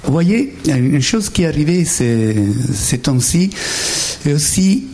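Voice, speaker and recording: A man with a strong Italian accent, a Franciscan friar giving a spiritual retreat talk to lay people, halting with many fillers and restarts and lively asides to the audience. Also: fairly high pitch.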